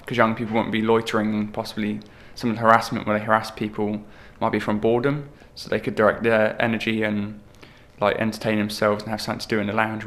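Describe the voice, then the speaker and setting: A 21-year-old man speaking slowly and with many fillers, giving personal testimony for a short film. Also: on the low side, fairly bright tone. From Portsmouth, England. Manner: slow, measured, with many fillers. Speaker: young film participant.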